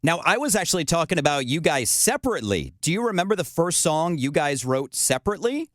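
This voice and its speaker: A male radio host from Canada with a lively, swooping voice and a slightly raised pitch. He chats playfully and laughs easily. The sound is close and dry, with no room around it. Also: quick pace, no room sound. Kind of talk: interview chat.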